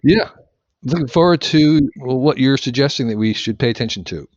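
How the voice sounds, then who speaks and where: A man with an average-pitched voice co-hosts a conversational podcast. His phrasing is halting, with repeated words, and the recording is dry and a bit dull. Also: lively intonation, darkish tone, no echo. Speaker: podcast co-host. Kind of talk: casual interview chat.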